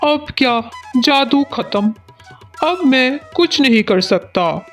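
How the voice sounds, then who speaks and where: A woman's voice: sits high, lively intonation, slow, fairly bright tone, soft background music, heavily acted. A woman voicing a sly male village moneylender, coaxing and confiding, in a cartoon story. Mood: dismissive.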